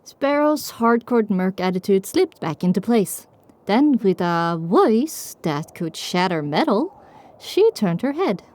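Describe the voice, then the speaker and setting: A woman's voice: medium pitch, sing-song, slow pace, darkish tone. A woman narrator reading a scripted first-person story for an audio drama.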